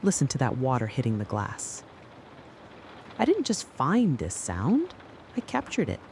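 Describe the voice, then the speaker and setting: A narrator delivering a scripted, dramatic late-night soliloquy in a deliberate, brooding way, speaking straight to the listener. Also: mid-range voice, wildly swooping pitch, gravelly, darkish tone, a little hiss or hum. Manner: slow, deliberate, brooding second-person address.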